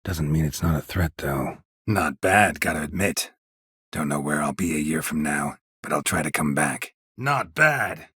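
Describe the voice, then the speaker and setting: A man playing a gruff, stoic, sardonic monster-hunting mercenary in a video game, terse and deadpan with a gravelly voice. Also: a bass voice, measured pace, no room sound, clean studio sound, exaggerated voice. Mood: dry, guarded. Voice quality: gravelly, husky. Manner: terse, deadpan, clipped sentences. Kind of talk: video game dialogue acting.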